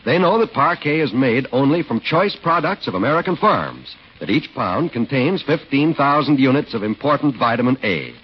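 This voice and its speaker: Old-time radio announcer man, kinda husky, muffled recording, very animated and emphatic scripted read. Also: mid-range voice, normal speed, hardly any pauses. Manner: polished, emphatic announcer delivery. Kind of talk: 1940s radio advertising and scripted narration.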